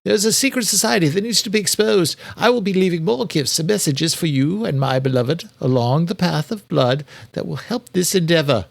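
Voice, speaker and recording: Man putting on a theatrical, sinister killer, raised pitch. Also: normal speed, creaky, few pauses, no room sound. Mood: sinister glee. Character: Jack the Ripper, sinister killer, in a read-out letter.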